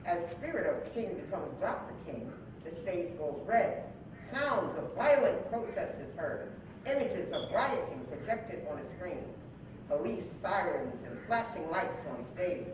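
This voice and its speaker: Distant, echo-heavy hall recording of a woman narrating a staged reading. Her lowish voice is lively and varied as she reads out short stage directions. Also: a little slow, background noise.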